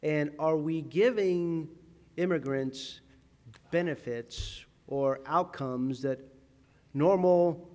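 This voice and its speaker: Man, slightly high voice, moderator, measured probing question, long room reverb. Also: darkish tone. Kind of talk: posing a question to a panel.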